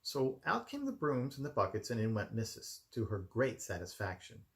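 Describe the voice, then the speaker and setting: A man with a gravelly voice reading a children's story expressively, narrating from a written text in his room at home. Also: medium pitch, huge pitch swings, normal speed, a bit bright. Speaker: amateur storybook reader.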